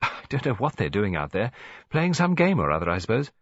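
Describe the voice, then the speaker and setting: A genteel English lady near seventy, as a male narrator puts her on, chatting and rambling politely with very lively, swooping pitch. The voice is a little husky, in a dull, close, dry audiobook recording. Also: fairly quick, dry recording. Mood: chatty, confiding. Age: older.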